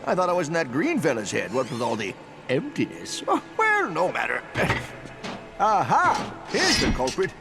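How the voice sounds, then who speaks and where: Creaky, swooping folksy old plumber. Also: male voice, fairly high pitch, wildly swooping pitch, normal speed, music in the background, full character voice. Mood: cheerful, bumbling. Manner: folksy, rambling, exclaiming. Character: folksy, bumbling, cheerful old male plumber.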